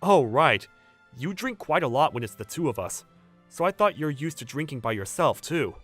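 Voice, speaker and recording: A man's voice: a little high, very animated, moderate pace, a bit bright, soft background music. A young man playing a polite, cautious new employee, speaking dialogue and first-person narration in a manga dub. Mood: puzzled, casual. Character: hesitant, polite young man, newly hired junior employee.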